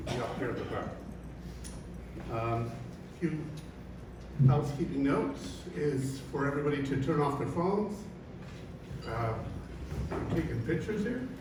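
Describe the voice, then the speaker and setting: A man's voice: fairly high pitch, lively intonation, measured pace, distant mic, heavy background noise. Man moderating a meeting in an echoey hall. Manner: hesitant, many fillers. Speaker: chamber of commerce president moderating a candidates forum. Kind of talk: informal public meeting remarks. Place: large echoey hall, bar room. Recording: echoey hall recorded from afar. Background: room ambience.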